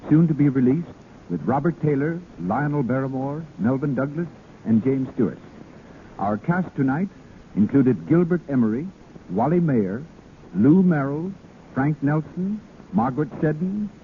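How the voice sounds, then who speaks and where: A man's voice: a little high, phone-quality sound, slight background noise. An American man reading scripted announcements as a 1930s radio announcer. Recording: thin vintage radio broadcast.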